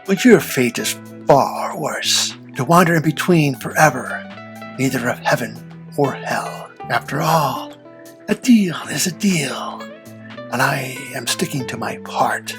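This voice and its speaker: A performer voicing a suave, ominous devil in a folktale audio drama, with formal, old-fashioned, measured diction. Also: mid-range voice, animated, over music, lightly acted. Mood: gloating, ominous.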